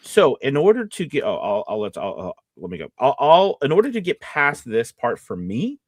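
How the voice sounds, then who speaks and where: A man's voice: mid-range voice, huge pitch swings, moderate pace, no echo. Male live-stream host and coach, chatty and rambling, restarts often, dry sound. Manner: rambling, false starts and self-interruptions. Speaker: co-host, voiceover casting director and coach. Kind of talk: casual live-stream chat answering viewer questions.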